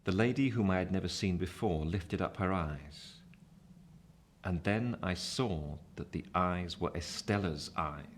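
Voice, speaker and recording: A man acting a reflective gentleman who narrates his own youth in a radio drama, measured and literary. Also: low-pitched, a little hiss or hum. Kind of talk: scripted first-person narration, radio drama.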